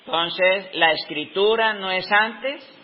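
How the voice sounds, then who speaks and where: A Colombian man in his fifties, giving an unscripted talk on teaching with slow, reflective pauses in the middle of his sentences. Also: sits high. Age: 50s. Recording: dull lo-fi recording.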